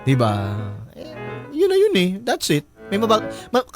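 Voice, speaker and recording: A man's voice: very high voice, huge pitch swings, measured pace, music in the background. A Filipino man hosting a radio show, chatting and giving advice in a playful, teasing way, mixing in English. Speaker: radio host. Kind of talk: casual chat, giving advice to a caller.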